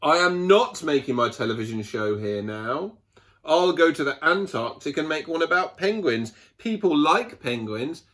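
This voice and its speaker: A man voicing a furious male wildlife presenter in a picture book, scolding with emphatic, drawn-out words. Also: a little high, expressive, fairly bright tone.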